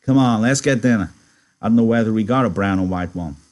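A man reads as a gruff ranch drifter in a storybook. He is wary and curt, talking plainly and with some impatience. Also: lowish voice, quick pace, a little mellow, natural voice. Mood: brisk, impatient. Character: wary, gruff, protective male itinerant ranch worker.

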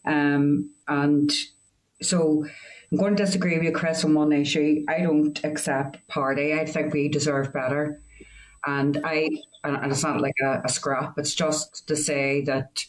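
A man speaking earnestly as a committee member, halting with restarts and fillers. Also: fairly high pitch, rough recording. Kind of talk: committee meeting remarks.

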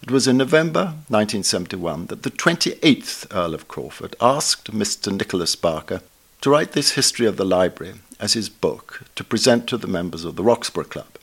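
An elderly man with a fairly low voice and an upper-class English accent reads a prepared review aloud. His delivery is formal and erudite, with deliberate emphasis on key phrases. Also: big pitch swings, fairly bright tone, slightly rough. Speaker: book reviewer. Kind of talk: scripted book review read aloud.

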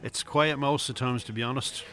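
Man acting a bright-voiced barman. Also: mid-range voice, steady tone, fairly quick, natural voice. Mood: affable, tactful. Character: chatty, tactful barman of a quiet local pub. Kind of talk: naturalistic radio drama acting.

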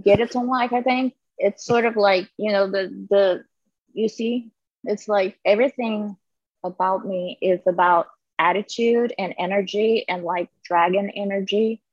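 A woman guest answering interview questions in a playful, deadpan way with many fillers. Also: mid-range voice, measured pace, dry recording, highs cut off.

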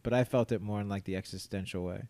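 A man's voice: fairly low pitch, fairly even intonation, fast talker, mellow, no room sound. A young man co-hosting a casual podcast, telling rambling stories full of fillers and restarts, laughing often and acting out his own thoughts. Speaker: podcast co-host. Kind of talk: casual chat.

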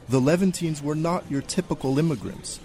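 A man's voice: fairly high pitch, brisk, mellow, slight background noise. A man reading a scripted radio news report as a correspondent.

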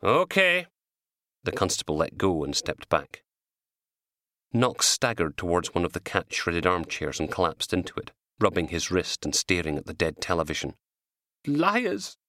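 A man reading his own crime novel as an audiobook, in an animated scripted narration. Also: deep voice, moderate pace, dry recording, studio quality.

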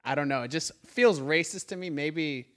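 A man's voice: a little high, normal speed, a bit bright. A man cohosting a casual chat, an actor himself, easygoing and a little hesitant.

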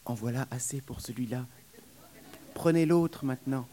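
A man's voice: normal speed, dark tone, natural voice. A man voicing a suffering young wet nurse, pleading in a raised, breathless voice. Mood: relieved. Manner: high, plaintive, breathless pleading.

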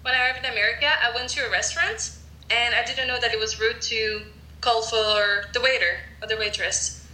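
A woman's voice: a little high, steady tone, a little fast, sharp tone. A young woman from France with a French accent, chatting casually on a podcast and hedging her points with fillers. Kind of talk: casual chat. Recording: roomy echo.

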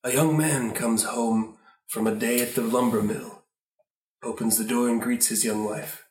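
Casting: male narrator for improvised audio drama, medium pitch, echoey room. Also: steady tone, unhurried, a little mellow, slight background noise. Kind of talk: improvised radio play narration.

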